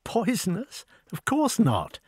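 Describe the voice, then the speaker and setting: A man voicing a tall, proud older woman with an upper-class English accent, brisk and wry in an audiobook. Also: on the high side, big pitch swings, no echo, clean studio sound, pitched above the performer's own voice. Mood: commanding. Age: over forty. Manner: brisk, commanding, wry and talkative. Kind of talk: audiobook dialogue.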